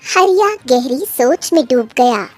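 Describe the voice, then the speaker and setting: Children's story narrator, female, high-pitched, measured scripted read. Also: expressive, soft background music.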